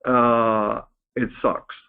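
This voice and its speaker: A man's voice comes through a thin, band-limited phone conference line, at a middling pitch. He presents like an executive addressing staff, in an informal business style. His delivery is candid and conversational. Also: level tone, drawn-out words, gravelly, smooth, phone-quality sound. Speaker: company executive hosting a staff call. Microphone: conference call phone line.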